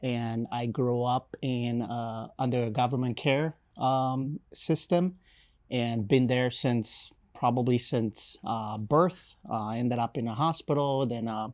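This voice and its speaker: Romanian-accented man, halting testimony. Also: mid-range voice, steady tone, a little mellow. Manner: hesitant, many fillers and restarts. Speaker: missionary guest. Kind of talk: interview, personal testimony.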